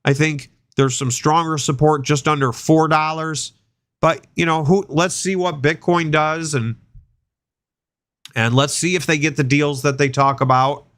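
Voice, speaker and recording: A man's voice: a little high, fairly bright tone, no room sound, clean studio sound. A man hosting an investing show, congested from a sinus infection, rambling and often repeating words as he thinks aloud. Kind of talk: casual investing chat.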